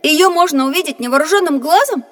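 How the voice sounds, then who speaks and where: A woman playing a curious, excitable young boy in a children's educational audio drama, wide-eyed and naive. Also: sits high, fast talker, faint music behind. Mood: puzzled.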